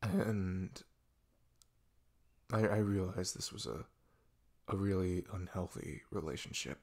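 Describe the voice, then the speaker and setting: Guy doing a sad boyfriend in asmr roleplay, lowish gravelly voice, hesitant, keeps trailing off. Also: a little mellow, heavily acted. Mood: sad, confiding. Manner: halting, hesitant, sighing and trailing off. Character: depressed, hesitant boyfriend opening up to his partner.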